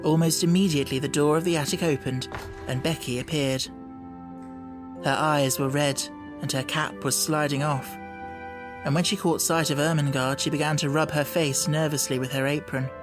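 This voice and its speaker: A woman's voice: low voice, steady tone, moderate pace, over music. A woman reading a children's novel as scripted audiobook narration.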